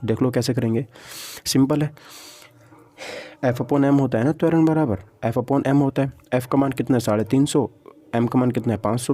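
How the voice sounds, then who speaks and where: Man, mid-pitched mellow voice, physics teacher, step-by-step explaining, repeats key points, self-answered questions. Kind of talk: exam-prep lesson, explaining practice questions step by step.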